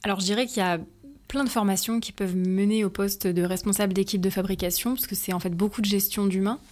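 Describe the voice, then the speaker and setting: A young French woman talks through her career in an interview, pausing often on hesitant fillers. Her voice sits in an ordinary middle pitch. She explains each step carefully. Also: steady tone, darkish tone. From France. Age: 20s. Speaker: interview guest, factory team manager. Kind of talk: answering interview questions.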